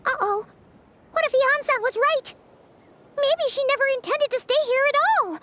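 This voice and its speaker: A woman voicing a small, childlike fairy companion in an anime-style video game, chirpy, blunt and excitable, with a squeaky voice. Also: moderate pace, slight background noise. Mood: nervous. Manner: refers to self in third person, exclamatory.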